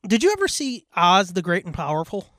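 Very lively, foul-mouthed podcast co-host. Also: medium pitch, fairly quick, dry recording, clean studio sound. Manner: foul-mouthed, slangy, combative joking. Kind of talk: casual banter about movies.

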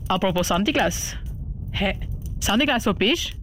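A woman's voice: mid-range voice, expressive, normal speed, noisy background. A woman with a Swiss German accent co-hosting a youth radio show, telling things in a scripted presenting style. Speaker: radio co-host. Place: radio studio.

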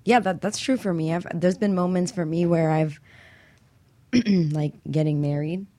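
A woman's voice: fairly low pitch, measured pace, mellow, dry recording, clean studio sound. A woman on a talk show chatting candidly and casually about herself, a little hesitant as she opens up. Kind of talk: candid casual personal chat.

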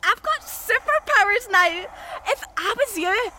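An actress plays a menacing, mocking fifteen-year-old girl in a horror radio play. Her voice is extremely high and very animated, volatile in temper, over a faintly noisy background. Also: a little slow, heavily acted. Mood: bitter, manic.

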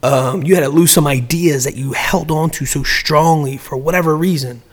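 A man's voice: fairly high pitch, normal speed. A man in casual podcast chat, giving business advice in a rambling way, often repeating words and restarting sentences.

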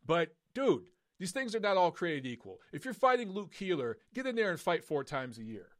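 A man hosting a live boxing call-in podcast, emphatic and opinionated, his voice going hoarse. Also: high voice, very animated, moderate pace. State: losing his voice.

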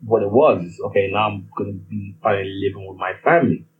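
A man's voice: fairly low pitch, fast. A young man with a Nigerian accent, telling a personal story in a hesitant monologue with many restarts. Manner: hesitant, lots of restarts and fillers. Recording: slightly muffled with faint ambient noise.

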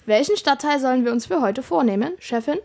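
A fairly high female voice of an amateur actor plays a police investigator in a homemade audio drama. She sounds sensible and orderly, keeping to business in a matter-of-fact way. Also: animated, a little fast, creaky, darkish tone, exaggerated voice. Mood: brisk.

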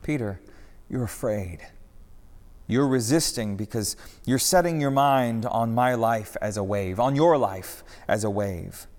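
A patient, gentle teacher speaks slowly and evenly, unhurried even when the news is grave, as a man reads him. A long hall reverb trails his words. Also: mid-range voice, close to an everyday voice. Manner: calm, patient, measured. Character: calm, patient male teacher, gently wise.

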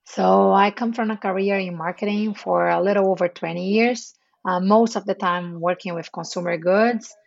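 A Brazilian woman in her forties speaking Portuguese as her first language, giving a measured podcast interview with frequent pauses. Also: mid-range voice, fairly even intonation, gravelly, no echo, highs cut off. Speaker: chief marketing officer, guest.

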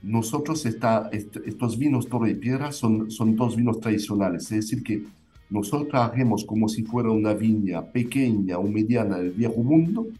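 Low, mellow man with a foreign accent. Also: normal speed, faint music behind. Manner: hesitant, many fillers and restarts. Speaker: winemaker guest. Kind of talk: interview answers.

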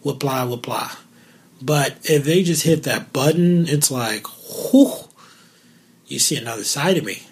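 Raspy-voiced man hosting a casual show. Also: mid-range voice, a little slow. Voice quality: naturally raspy voice. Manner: candid and joking, with asides and filler words. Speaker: podcast host. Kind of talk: solo casual talk show.

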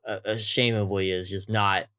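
Male co-host, slightly low voice, loose informal chat with repeated short phrases. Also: flat delivery, normal speed, sharp tone, clear, resonant tone. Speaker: podcast co-host. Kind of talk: casual chat, reacting.

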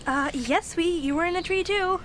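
A woman's voice: very high voice, normal speed, fairly bright tone, a little hiss or hum. A young girl playing a bossy, bookish eleven-year-old girl, dramatic and exasperated, in an amateur family audio drama. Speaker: child actor and writer.

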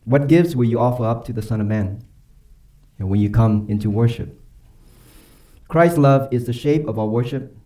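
A man preaching a sermon and leading a closing prayer, earnest and emphatic, slowing down and dropping softly on key phrases. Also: medium pitch. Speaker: pastor.

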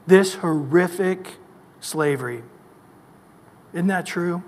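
A man's voice: a little high, big-room sound. A male pastor preaching a sermon emphatically, asking the congregation rhetorical questions. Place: church.

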